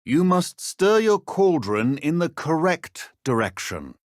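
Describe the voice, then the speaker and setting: An actor playing a stern middle-aged British wizard professor in a video game, speaking in a measured, dry, formal way. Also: male voice, fairly high pitch, sing-song, no echo. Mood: instructive.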